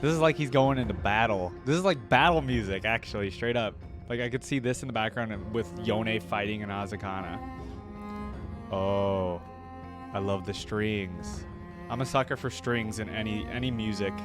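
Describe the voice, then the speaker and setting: A man's voice: on the low side, normal speed. A man giving casual, enthusiastic reaction commentary as a video creator, in an informal, exclamatory way. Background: orchestral music from the track being reviewed.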